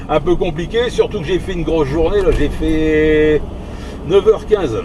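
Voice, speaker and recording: A man chatting casually in on the radio while driving, in a hesitant, halting, conversational way. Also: fairly high pitch. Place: inside a moving vehicle. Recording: noisy moving vehicle, mic at a distance. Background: loud engine and road noise.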